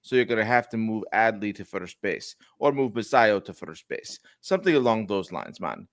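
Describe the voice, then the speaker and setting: A man's voice: on the low side, sing-song, normal speed, no room sound, clean studio sound. A man co-hosting a casual sports podcast, talking in a put-on Jamaican accent from a lost bet. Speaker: podcast co-host. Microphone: remote streaming mic.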